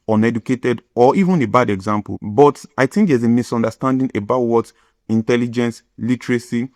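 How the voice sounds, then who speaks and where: Nigerian-accented man in his thirties, scripted commentary host, measured delivery, dry booth sound. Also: mid-range voice, dry recording. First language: Yoruba. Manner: measured, lecturing, list-like delivery. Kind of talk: scripted opinion monologue.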